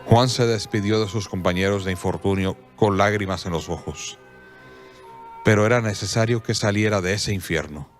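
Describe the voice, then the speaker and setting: A man narrating a radio drama in a measured, solemn storytelling manner. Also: lowish voice, faint music behind.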